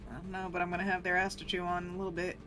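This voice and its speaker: A woman's voice: lowish voice, level tone, very fast. A woman playing a tabletop game, chatting casually in a wry, salty way. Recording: music clearly under the voice. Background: clear background music.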